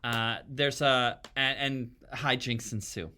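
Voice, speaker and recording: A man's voice: mid-range voice, steady tone, slow pace, pushed and bright. A man who is a theatre artist, chatting casually as a podcast guest and thinking aloud through long explanations. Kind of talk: casual interview chat.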